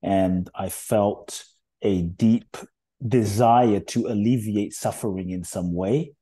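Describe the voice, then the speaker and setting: A middle-aged man raised in Ghana and London, a guest speaker telling his life story in an earnest, measured way that builds emphasis on key phrases. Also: fairly low pitch, warm tone, slightly rough. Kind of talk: reflective storytelling interview.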